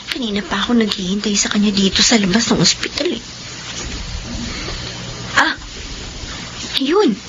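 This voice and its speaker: Little sister, extremely high voice, childlike, eager, affectionate, radio drama, music behind. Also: female voice, rough recording. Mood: waiting, eager. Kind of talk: Filipino radio drama acting.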